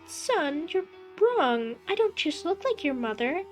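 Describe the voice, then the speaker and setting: A woman's voice: high-pitched, very animated, measured pace, faint music behind, pitched above the performer's own voice. A woman voicing a doting middle-aged mother returned from the dead, sweet and chirpy in a motherly way. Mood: sweetly cheerful.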